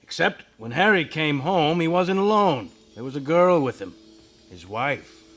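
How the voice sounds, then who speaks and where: Steady narrator, music playing under. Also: male voice, a little high. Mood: calm. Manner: measured, explanatory. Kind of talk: staged radio drama.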